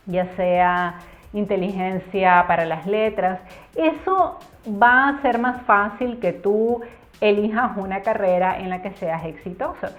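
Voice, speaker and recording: Young Cuban-born woman, YouTube storyteller, earnest explainer; tinny phone-band audio. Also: mid-range voice. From Cuba. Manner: earnest, explanatory, stretches words for emphasis. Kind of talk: casual storytime vlog. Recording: tinny phone-like sound with faint music.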